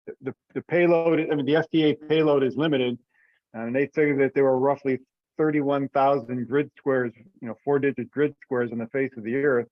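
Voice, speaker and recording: A man's voice: mid-range voice, steady tone, normal speed, no echo. A man talking casually in an online meeting, thinking aloud hesitantly as he goes through rules as an organizer. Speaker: event organizer presenting rules. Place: online teleconference call. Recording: dull lo-fi teleconference audio.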